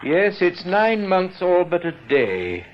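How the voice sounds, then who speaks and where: Smug smooth senior bank manager in a radio drama, talking down, highish voice, bit of hiss. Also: male voice, very animated, takes it slowly, exaggerated voice. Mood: breezy, patronising. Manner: condescending, evasive. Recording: dull, hissy old radio recording.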